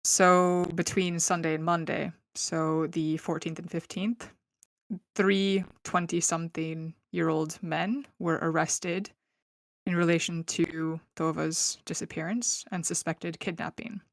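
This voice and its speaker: A woman's voice: fairly low pitch, level tone, takes it slowly, dry recording. A young woman hosting a true crime podcast, telling the case in a chatty, laughing way with lots of fillers, on a close mic. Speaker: podcast host. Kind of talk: casual true crime storytelling.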